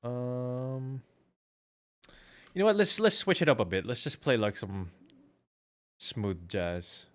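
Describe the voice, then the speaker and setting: A man's voice: medium pitch, slow, long pauses, soft background music. A man chatting casually on a livestream, rambling and swearing freely. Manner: profane, rambling. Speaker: streamer.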